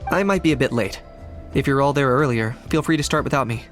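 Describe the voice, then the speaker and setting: A man playing a calm, reserved young fighter in a video game, composed and understated. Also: medium pitch, fast, lightly acted. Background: music.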